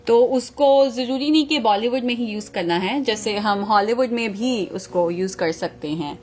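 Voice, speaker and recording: A woman's voice: on the high side, moderate pace, darkish tone. A woman co-hosting a TV show who talks about dancing in an easygoing way, mixing English phrases into her replies and often agreeing with short words. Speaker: co-host and dancer. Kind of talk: casual studio chat. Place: TV studio.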